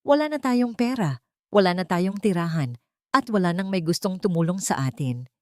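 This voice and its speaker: A woman's voice: lowish voice, lively intonation, normal speed, a little mellow, no echo, studio quality, natural voice. A woman with a Filipino accent voicing a despairing, newly penniless female villain in a dramatic story, anguished and complaining.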